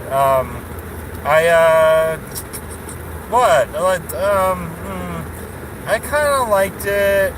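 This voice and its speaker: A man in his late thirties rambling in a casual vlog from a parked car at night, full of fillers and restarts, chuckling now and then. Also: fairly high pitch. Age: 30s. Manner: meandering, many fillers and restarts, chuckles often. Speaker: vlogger. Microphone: phone camera on dashboard. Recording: noisy car interior.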